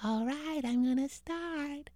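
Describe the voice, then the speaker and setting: A man voicing a small, playful ghost that wails with high, drawn-out moans. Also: darkish tone, no echo, studio quality. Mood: spooky, teasing. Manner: high wavering drawn-out ooh sounds.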